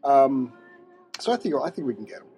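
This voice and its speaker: A man hosts a casual podcast from a restaurant booth. His tone is mellow and relaxed, and he chats loosely. Faint music plays in the background. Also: mid-range voice. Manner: chatty and quick to laugh. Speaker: podcast host.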